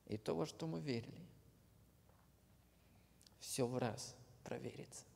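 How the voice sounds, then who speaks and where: A man preaching a sermon, slow and earnest, often dropping to a hushed near-whisper at the ends of phrases. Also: medium pitch, creaky, a little hiss or hum. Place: church hall. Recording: long hall reverb.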